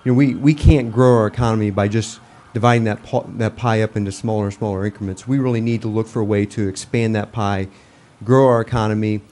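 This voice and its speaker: Middle-aged man, deep warm voice, steady council presenter. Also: fast, slight background noise. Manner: steady, with many "you know"s. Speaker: economic development presenter. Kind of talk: report to city council. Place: council chamber, standing before seated audience.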